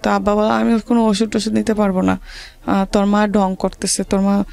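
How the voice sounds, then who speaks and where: A woman with a rural Bangladeshi accent, telling her life story to an interviewer in halting, repetitive dialect. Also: mid-range voice, completely flat delivery, creaky, darkish tone.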